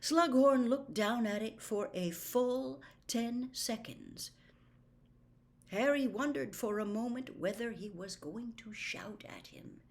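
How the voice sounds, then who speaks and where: A woman reading a novel aloud as a storyteller, with deliberate, dramatic and expressive narration. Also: a little high. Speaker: audiobook reader.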